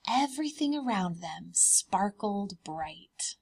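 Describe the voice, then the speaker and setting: Preschool teacher lady reading a picture book, super slow and expressive, talking right to little kids. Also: mid-range voice, gravelly, breathy edge. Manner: deliberate, child-directed. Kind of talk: expressive children's storybook read-aloud.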